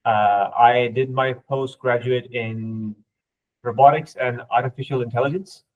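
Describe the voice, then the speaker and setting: Male guest expert, native Urdu speaker, mid-pitched, didactic informal explainer, dull band-limited audio. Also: fairly even intonation, a little slow. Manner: didactic, often checks with "right?". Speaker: podcast guest, researcher.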